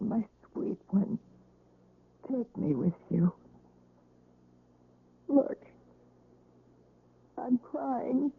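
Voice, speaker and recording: A woman's voice: fairly high pitch, vocal fry, husky, heavily acted. An actress playing a sentimental working woman who is a hospital patient, in a 1970s-style radio drama. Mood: tearful pleading. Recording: dry, thin phone-like old radio recording.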